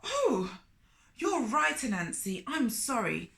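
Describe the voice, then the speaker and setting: Storybook turtle played by a woman: meek, hungry male, hesitant and apologetic, slow-paced and high. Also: very animated, gravelly, fairly bright tone, reverberant, exaggerated voice. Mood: sorry.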